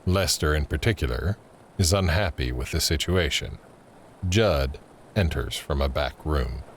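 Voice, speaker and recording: A man's voice: a bass voice, a little slow. A man reading scripted, screenplay-like narration for an audio drama. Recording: faint ambient noise under narration.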